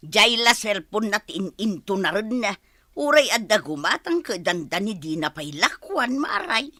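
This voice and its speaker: An actress playing a gossipy, giggly village wife in a radio comedy drama. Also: female voice, medium pitch, sing-song, measured pace, bright, forceful tone, dry recording, studio quality, heavily acted. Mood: nosy.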